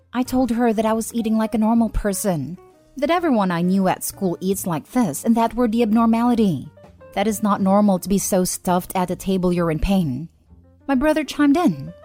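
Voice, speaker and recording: A woman narrating online posts and comments in an even, steady read-aloud manner. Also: medium pitch.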